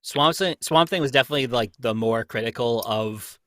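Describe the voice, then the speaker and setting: Bright-voiced young man, enthusiastic fan. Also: medium pitch, brisk, no room sound, studio quality. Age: young adult. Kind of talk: casual fan discussion.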